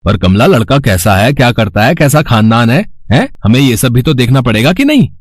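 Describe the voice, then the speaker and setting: A man's voice: mid-range voice, sing-song, a little fast, no room sound, pitched below the performer's own voice. A concerned, trusting family man in a cartoon tale, played by a man. He speaks in a questioning, conciliatory way, recorded dry without room sound. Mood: doubtful. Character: trusting, concerned older brother and husband.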